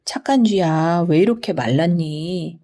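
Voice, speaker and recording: Kind storybook farmer, voiced by a female reader: gentle, caring, mellow. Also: fairly low pitch, unhurried. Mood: kindly concern. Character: kindly male farmer talking to a small mouse.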